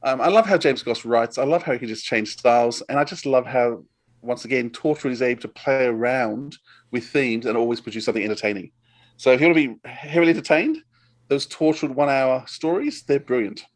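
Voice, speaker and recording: A man's voice: medium pitch, brisk. A man in casual chat as a podcast co-host, giving recommendations in a rambling, enthusiastic way.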